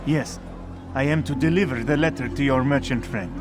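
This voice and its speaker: A man's voice: mid-range voice, moderate pace, natural voice. A man as a wry messenger over music. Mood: wry, obliging. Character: wry, compliant male underworld messenger.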